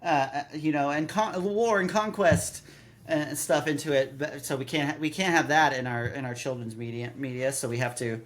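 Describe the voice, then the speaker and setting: A man co-hosting a podcast in casual review chat, halting and repeating words as he thinks. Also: a little high, a bit bright. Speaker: podcast co-host.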